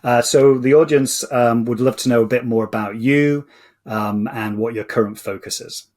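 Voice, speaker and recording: A man hosting an interview show, introducing a guest and asking questions politely in a measured way. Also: medium pitch, steady tone, a little mellow. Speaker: podcast host.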